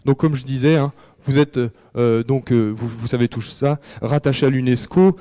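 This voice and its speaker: Brief: man as an officious, stumbling male official, mellow voice, echoey hall. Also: mid-range voice, normal speed, clean tone, close to an everyday voice. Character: bumbling male official of a global library body. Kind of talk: live comic sketch. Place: large hall at a library event.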